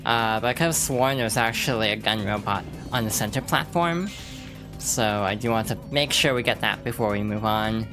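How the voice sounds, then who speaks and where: A woman's voice: very deep voice, normal speed. A woman streamer rambling through casual let's-play commentary, with lots of fillers and restarts. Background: game music.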